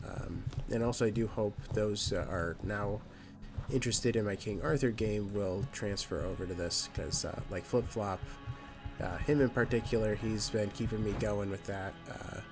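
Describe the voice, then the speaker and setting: A nasal, slightly low-voiced man rambles through a casual let's-play update, full of ums and restarts. Game music plays clearly behind him. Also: steady tone, moderate pace. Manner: rambling, with many fillers and restarts. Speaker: gaming channel host. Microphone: new microphone.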